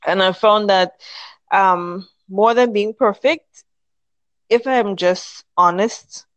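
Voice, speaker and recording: A woman in her thirties from the Caribbean, a podcast guest speaking in a thoughtful, measured way with frequent restarts. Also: medium pitch, no room sound, dull audio. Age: 30s. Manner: thoughtful, measured, many restarts while finding words. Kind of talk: casual interview chat.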